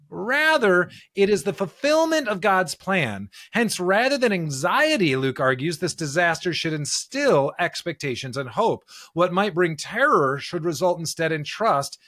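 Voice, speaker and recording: A man with a bright, forward voice in a dry, close recording. He talks like a podcast co-host in discussion, thinking aloud and checking his points with frequent tag questions. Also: high voice, dry recording. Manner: animated explainer, often checking with "right?". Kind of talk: conversational discussion of scripture and pop culture.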